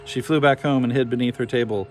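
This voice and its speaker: A man's voice: medium pitch, steady tone, quick pace, darkish tone, faint music behind. A man reading a scripted children's story aloud as narrator. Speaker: storybook reader.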